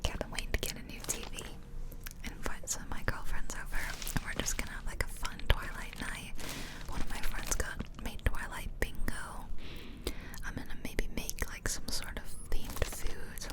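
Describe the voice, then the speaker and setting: A woman rambling casually in an ASMR style, speaking close to the microphone, ear to ear, in a bedroom at home. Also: measured pace. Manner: chatty, rambling, informal. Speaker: ASMR video creator. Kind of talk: ASMR whispering, casual ramble over gameplay.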